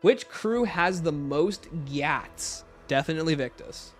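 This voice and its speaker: A man's voice: a little high, expressive, a little slow, faint music behind. A man hosting a livestream, explaining and answering viewer questions off the cuff. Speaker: livestream host.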